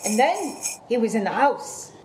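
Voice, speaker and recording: A woman's voice: on the high side, sing-song, measured pace, a little mellow, soft background music. A woman playing a cool, wary, sultry gang member in a noir radio comedy. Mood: narrating. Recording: roomy, faint background noise.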